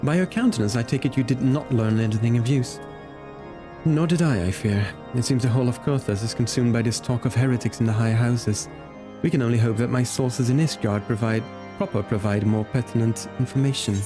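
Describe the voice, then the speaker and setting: A man's voice: mid-range voice, fairly quick, natural voice. A man reading game dialogue as a worried nobleman of a fantasy realm, earnest and courtly in his wording, over music. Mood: grave concern. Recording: background music behind the voice.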